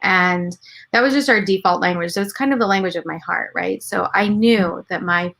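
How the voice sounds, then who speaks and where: Creaky-voiced woman telling her story. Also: lowish voice, moderate pace. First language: Gujarati. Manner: animated storytelling, quoting her own thoughts. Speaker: guest, entrepreneur and mother. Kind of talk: casual interview conversation.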